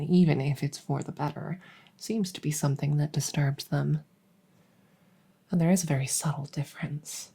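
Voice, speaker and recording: A woman playing a devoted, doting vampire maid in a soft-spoken ASMR roleplay, gentle and deferential, often slipping into a whisper. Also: low voice, creaky, husky, heavily acted. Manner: gentle, unhurried, deferential, formal.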